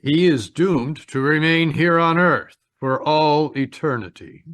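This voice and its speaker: Slow grave ghostly guide type someone acting it out, stern n commanding, old radio mystery, very dry sound. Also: medium pitch, fairly bright tone, no echo, close to an everyday voice. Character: solemn, stern robed spirit guide of the dead.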